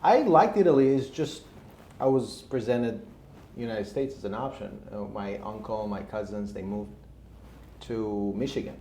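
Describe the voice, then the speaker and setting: A man's voice: fairly low pitch, moderate pace, warm tone. A man, telling his story casually as an interview guest. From Albania. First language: Albanian. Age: 30s. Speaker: interview guest, real estate investor. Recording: roomy echo, faint background noise.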